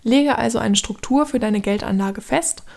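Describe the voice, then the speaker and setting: A woman's voice: fairly high pitch, moderate pace, gravelly, darkish tone. A woman aged thirty giving scripted explanatory narration as a podcast host, instructing the listener directly in a structured way.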